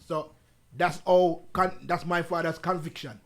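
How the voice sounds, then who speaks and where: A man from the UK with a Jamaican accent, the guest on an interview show, telling stories in a relaxed, patois-laced way. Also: on the high side, unhurried. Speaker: guest artist. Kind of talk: casual interview chat.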